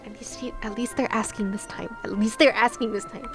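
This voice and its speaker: Playful woman livestreamer with a highish voice. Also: steady tone, brisk. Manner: playful, teasing, exclaims in wonder. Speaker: game livestreamer. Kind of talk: casual livestream chat over gameplay. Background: game music.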